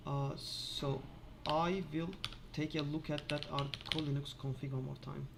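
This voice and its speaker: A man casually thinking aloud in an office while fixing software, halting with many filler sounds. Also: on the high side, steady tone, creaky, background noise. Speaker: Linux developer screencasting.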